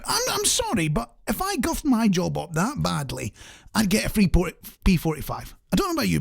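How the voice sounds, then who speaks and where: Chatty radio host with lively, swooping intonation. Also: mid-range voice, vocal fry. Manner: chatty, wry, jokey rambling asides. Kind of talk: casual listener-interaction radio chat.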